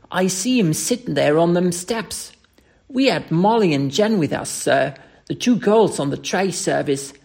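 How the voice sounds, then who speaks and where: A reader voicing a young Cockney ex-soldier servant in an audiobook story, rough-spoken, emphatic and deferential. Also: mid-range voice, darkish tone, voice pushed up, exaggerated voice.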